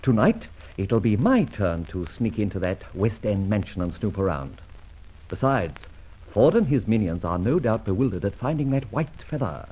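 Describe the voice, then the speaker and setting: An actor playing a suave, amused gentleman thief in an old radio adventure serial, with theatrical phrasing and a husky voice. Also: on the low side, very animated, moderate pace, muffled recording. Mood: teasing, gleeful.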